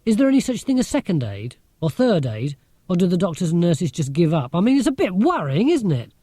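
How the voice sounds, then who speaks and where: A man's voice: high-pitched, huge pitch swings, brisk, dark tone, no room sound, clean studio sound, pitched above the performer's own voice, full character voice. A man voicing a brainy, blunt schoolgirl in an audiobook, matter-of-fact and sceptical. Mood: brisk, challenging.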